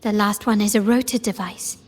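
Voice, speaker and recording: A woman playing a composed, shrewd young heiress in a game, speaking formally and deliberately. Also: medium pitch, steady tone, lightly acted. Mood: composed, analytical. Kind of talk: dramatic game dialogue. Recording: reverberant hall, music underneath. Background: music.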